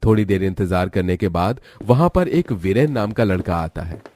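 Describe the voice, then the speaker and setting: A man's voice: low voice, expressive, normal speed, a little mellow. A man narrating a scripted story as its storyteller.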